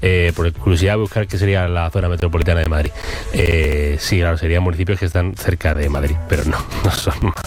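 A man's voice: deep voice, steady tone, quick pace, a little mellow. Wry Spanish man hosting over music. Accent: Spanish from Spain. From Spain. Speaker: quiz host on a talk show. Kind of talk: unscripted banter while running a quiz.

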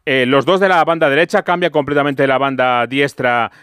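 A man's voice: mid-range voice, steady tone, a little fast, fairly bright tone, clean tone, dry recording, clean studio sound. A man from giving an orderly, methodical live report as a sports radio reporter. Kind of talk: live team line-up report.

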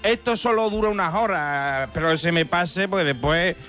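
Man playing a high-voiced, boozy, belligerent French deer. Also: moderate pace, fairly bright tone, faint music behind. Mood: breezy. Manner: theatrical, indignant, boastful storytelling. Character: boozy, belligerent French deer pleading his case in a letter. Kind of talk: comic radio monologue.

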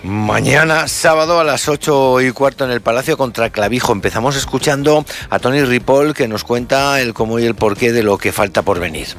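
A man hosting a live radio sports show, upbeat and brisk in his links between segments. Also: medium pitch, a bit bright, faint music behind.

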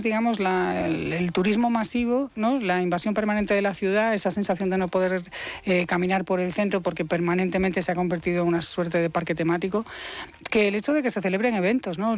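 A woman on a radio panel giving her opinion casually, searching for words as she goes. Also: fairly low pitch.

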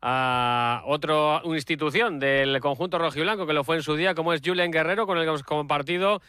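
Bright, crisp male sports radio presenter. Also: fairly high pitch, fairly quick, no room sound, studio quality. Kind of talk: sports news presentation introducing interview clips.

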